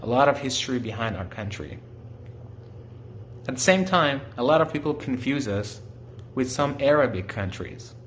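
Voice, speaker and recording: A man's voice: mid-range voice, lively intonation, moderate pace, long pauses, a little hiss or hum. Friendly Iranian man explaining his culture. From Iran. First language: Farsi. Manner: friendly, asks the listener questions. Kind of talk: simple explanatory talk aimed at children.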